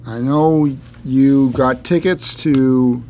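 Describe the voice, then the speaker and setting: A man's voice: mid-range voice, slow, a little hiss or hum. Dry-joking male podcast co-host. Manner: dry, deadpan ribbing. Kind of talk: casual banter.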